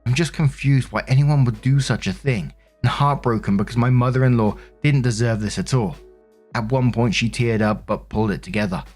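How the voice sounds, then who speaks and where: A man's voice: medium pitch, brisk, a little mellow, faint music behind. A man hosting a story show, reading online posts aloud and commenting on them conversationally into a close microphone. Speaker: host and narrator of a story show. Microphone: close studio-style microphone.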